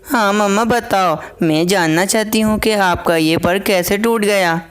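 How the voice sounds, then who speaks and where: Woman playing young cartoon bird girl, curious, polite, earnest, clear smooth voice. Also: mid-range voice, measured pace, faint music behind, natural voice. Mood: curious, concerned.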